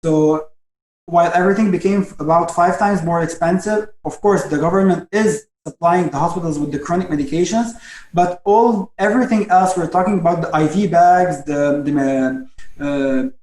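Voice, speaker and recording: A young man from Lebanon, a doctor, answering interview questions over a video call, halting and restarting his sentences. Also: on the high side, a little mellow, roomy sound, low-quality recording. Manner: halting, frequent restarts and stammers. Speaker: guest doctor.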